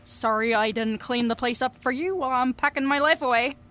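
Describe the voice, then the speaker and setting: A woman's voice: sits high, brisk, fairly bright tone. An actress playing a brash, wistful young woman in an audio drama, confiding and wry, with plenty of swearing. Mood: fond, reflective. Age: young adult. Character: Billie, brash, wistful young Scottish woman obsessed with fairies. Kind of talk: audio drama narration and dialogue.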